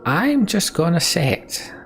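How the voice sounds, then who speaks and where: Grousing male game warrior, man acting, blunt and wry, raised pitch, gravelly edge. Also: big pitch swings, measured pace, music in the background, exaggerated voice. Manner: blunt, complaining. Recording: background noise.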